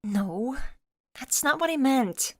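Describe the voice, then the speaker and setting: Woman putting on a high, bubbly, teasing young woman. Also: slow pace, no room sound, clean studio sound, heavily acted. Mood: excited. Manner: playful, excitable, expressive.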